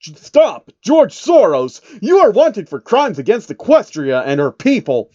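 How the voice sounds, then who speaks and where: A furious young mare, acted by a man, declaims loudly in a raised, commanding voice. It is a cartoon pony on a dry, slightly dull recording. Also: sits high, huge pitch swings, unhurried, dry recording, pitched above the performer's own voice, full character voice. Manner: loud, declaiming.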